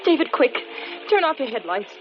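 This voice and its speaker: An actress playing a frightened, clinging young wife, pleading melodramatically in 1940s radio mystery style. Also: female voice, high-pitched, sing-song, fairly quick. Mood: panicky, pleading. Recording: noisy, muffled vintage radio drama recording.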